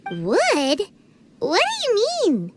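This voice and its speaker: A woman voicing a tiny, excitable and easily indignant childlike fairy companion in a video game, blunt and squeaky. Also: drawn-out words, over music, full character voice. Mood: taken aback.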